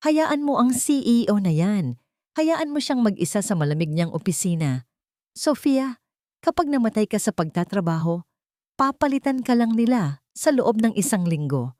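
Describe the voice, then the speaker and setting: A woman voicing a lively, caring, bossy young woman, an urging best friend in an audio drama. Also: mid-range voice, normal speed, no room sound, studio quality, pitched above the performer's own voice, natural voice. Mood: urgent, insistent. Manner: urging, emphatic.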